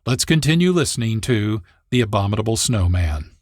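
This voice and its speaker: A man's voice: fairly low pitch, sing-song, a little fast, very noisy. A man presenting a radio drama program in scripted narration.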